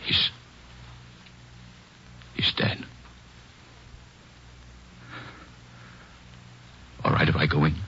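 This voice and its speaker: An actor in a 1940s radio drama playing a distraught, grief-stricken father, halting and anguished. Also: a bass voice, completely flat delivery, pushed and bright, a little hiss or hum, full character voice. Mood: anxious dread. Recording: dull 1940s radio recording.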